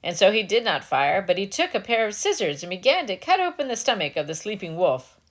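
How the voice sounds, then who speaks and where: A woman's voice: medium pitch, big pitch swings, a little fast. A woman storyteller giving a dramatic scripted narration of a fairy tale.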